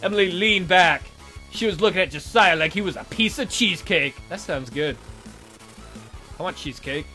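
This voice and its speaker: Guy doing a let's play readthrough, bright high voice, cracks irreverent comments as he narrates, bit of music under him. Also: moderate pace. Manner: irreverent, amused, breaks off to comment. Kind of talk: reading visual novel aloud with joking asides.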